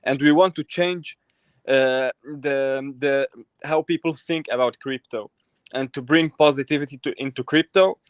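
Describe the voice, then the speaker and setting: Man on a remote call, hesitant, lots of ums, crisp dry sound. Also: medium pitch, steady tone, a little slow, no room sound. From Israel. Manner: hesitant, many ums and restarts. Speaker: crypto project team member. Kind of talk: casual livestream chat.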